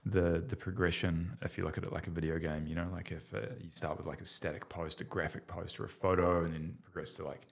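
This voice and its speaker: A man's voice: deep voice, flat delivery, fast, creaky, hardly any pauses. A man hosting a podcast, asking encouraging, probing questions in casual chat. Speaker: podcast host.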